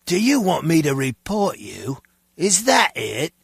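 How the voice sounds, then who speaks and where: A man playing a gruff, by-the-book henchman guard in a spy video game, with a gravelly, husky voice. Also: on the high side, big pitch swings, unhurried, dry recording, studio quality, heavily acted. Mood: stern. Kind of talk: video game dialogue acting.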